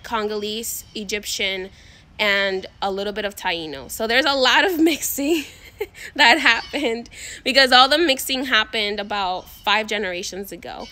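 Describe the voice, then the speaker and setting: A woman's voice: medium pitch, sharp tone, slight background noise. A young woman, talking casually to her phone camera outdoors, rambling with lots of fillers and restarts. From Dominican Republic. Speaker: spiritual coach vlogger. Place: backyard outdoors. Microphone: phone at arm's length.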